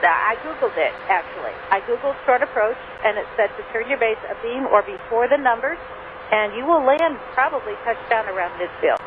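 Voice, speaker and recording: A woman's voice: fairly high pitch, animated, a little fast, phone-quality sound, crowd noise. A woman working as an air traffic controller, firmly and bluntly correcting a pilot over aviation radio. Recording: thin radio with clear static.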